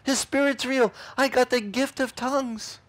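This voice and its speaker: A man's voice: extremely high pitch, moderate pace. A middle-aged man, a pastor telling a story in an outdoor beach sermon and acting out the voices of the people in it. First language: Italian. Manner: animated, acts out other people's lines.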